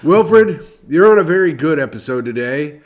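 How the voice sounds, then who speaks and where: A man co-hosting a comedy podcast in a loose, teasing way, often breaking into laughter. His voice sits a little high for a man. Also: animated, moderate pace. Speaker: podcast co-host. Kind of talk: casual comedy podcast chat.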